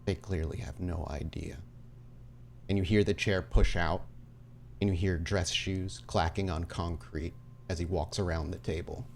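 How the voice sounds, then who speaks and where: A man narrating a tabletop horror roleplay as game master, with dramatic, deliberate scene-setting. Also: deep voice, fairly even intonation, gravelly, a little hiss or hum.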